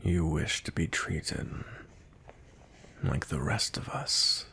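Guy doing a grumpy cold vampire knight captain for asmr roleplay, slow gravelly murmur, breathy and brooding. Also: a bass voice, bright, forceful tone, a little hiss or hum, exaggerated voice. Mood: stern, reserved. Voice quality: breathy, husky, often near whisper.

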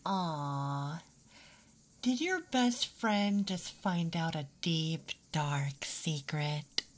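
Slow, teasing tomboy played by a woman. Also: deep voice, expressive, slight background noise, heavily acted. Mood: smug, teasing. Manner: teasing, drawling, slipping into whispers. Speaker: ASMR roleplay performer. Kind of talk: ASMR roleplay, intimate second-person acting.

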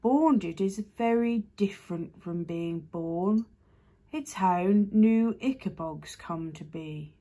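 A woman voicing an enormous, gentle creature that speaks slowly and solemnly in a deep voice, reading a storybook. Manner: slow, grave, deliberate.

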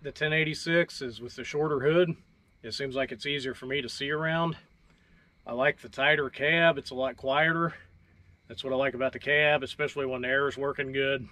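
A man's voice: mid-range voice, fairly bright tone, no room sound. A man hosting a farm video, casually explaining tractor features in a plainspoken, folksy way and thinking aloud as he goes.

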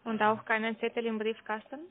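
A woman's voice: a little high, monotone, brisk. A woman speaking as a businesslike call centre agent over the telephone. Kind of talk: phone delivery notification. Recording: narrow telephone line.

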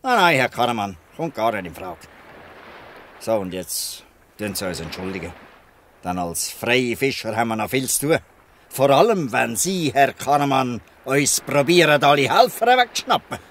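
A man's voice: mid-range voice, sing-song, normal speed, fairly bright tone, a little hiss or hum. Man acting proud old Swiss German fisherman. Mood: proud, defiant. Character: proud, protective old free fisherman, fatherly. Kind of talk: dialect radio play acting.

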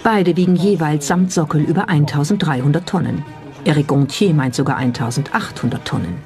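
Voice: mid-range voice, a little fast, a little mellow. A narrator reading a scripted documentary in a pointed, skeptical, rhetorical manner. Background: background music.

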